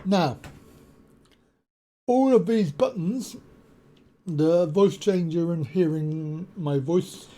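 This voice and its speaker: A man hosting a tech tutorial, explaining a software setup step by step with frequent pauses and ums on a desktop USB mic at his home computer desk. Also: sits high. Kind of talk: screen-share software walkthrough. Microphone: desktop USB condenser mic.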